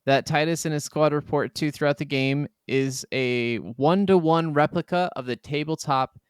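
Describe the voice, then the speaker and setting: A man with a slightly high, smooth and clear voice. He talks informally as a podcast co-host, joking and swearing freely in a loose, conversational way. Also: normal speed, no room sound, clean studio sound. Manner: informal, profane, jokey. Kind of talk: casual, bantering gaming podcast chat.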